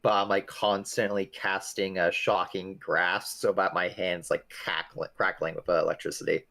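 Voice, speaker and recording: A man with a deep voice plays in an online tabletop game. He talks casually, laying out what his character does. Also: little pitch movement, normal speed, gravelly, bright, forceful tone. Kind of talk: casual chat.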